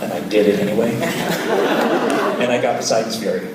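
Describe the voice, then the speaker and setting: Man, panel guest, animated anecdote, far mic, echoey room, noise behind. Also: medium pitch, normal speed. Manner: animated, laughing while telling a story. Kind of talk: casual anecdote on a live panel. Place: event hall with a live audience.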